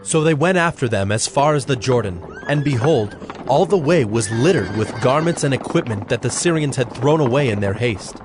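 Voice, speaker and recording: A man narrating a dramatized scripture reading in a measured, solemn manner. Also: medium pitch, expressive. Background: soft background music.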